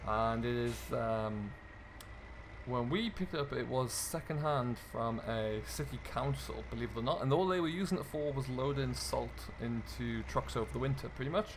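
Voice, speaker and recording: A man's voice: medium pitch, moderate pace. A British man giving casual, explanatory gameplay commentary, musing aloud as he goes. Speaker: video game streamer, host. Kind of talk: casual commentary over farming simulator role play. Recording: clear engine and vehicle noise behind. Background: game tractor and loader engine sounds.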